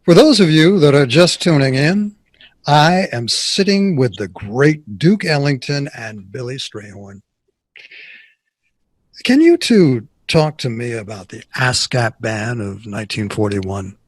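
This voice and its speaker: A polite 1960s radio host in an audio drama. He speaks slowly at a middle pitch, with close, dry sound. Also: expressive, no echo. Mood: composed.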